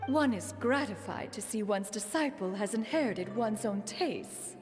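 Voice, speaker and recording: An actress playing a proud, fussy immortal master in a video game, speaking in formal, archaic, imperious diction. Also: female voice, mid-range voice, animated, a little slow, soft background music, lightly acted. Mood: gracious, composed.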